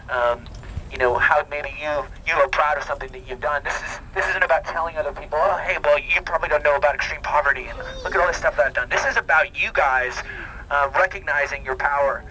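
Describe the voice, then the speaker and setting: A man addressing a walk crowd outdoors through a megaphone, earnest and halting with many ums and restarts. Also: a little high, bright, forceful tone, highs cut off. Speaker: band member addressing a charity walk crowd. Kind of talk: outdoor motivational speech. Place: outdoors at a university campus crowd. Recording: shaky handheld camera, faint background noise.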